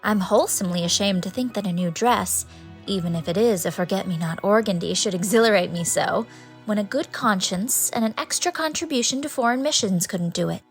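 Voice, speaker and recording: A genteel maiden lady, acted by a woman sighs and gently scolds herself in long, wordy phrases. Her voice is mid-range, in a dramatized storybook with music behind. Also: mid-range voice, a little fast, lightly acted. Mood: rueful delight. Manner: sighing, wordy, self-reproachful. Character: sentimental, self-chiding genteel older maiden lady. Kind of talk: dramatized audiobook acting. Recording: music under the voice.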